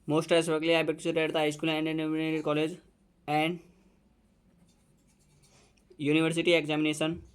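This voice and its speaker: Indian male teacher, very slow dictation, flat and even delivery, smooth clear voice, repeats every phrase. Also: on the high side. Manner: mixes Hindi explanation with dictated English. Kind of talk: dictating a letter to students.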